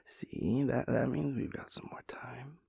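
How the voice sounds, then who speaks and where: Gravelly, breathy, drowsy boyfriend played by a man. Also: mid-range voice, warm tone, dry recording, in a whisper, studio quality, heavily acted. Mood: sleepy, playfully reluctant. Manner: halting, mumbling, playfully pompous wordy rambling. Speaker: audio roleplay performer. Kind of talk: intimate audio roleplay, half-whispered comic monologue.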